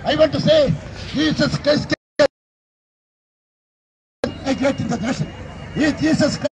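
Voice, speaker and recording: High-voiced man preaching in a busy plaza. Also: a little slow. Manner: emphatic, repetitive declarations. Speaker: street preacher. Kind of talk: open-air street sermon. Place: outdoor city plaza by a railway station. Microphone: handheld microphone. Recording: crowd and city noise outdoors.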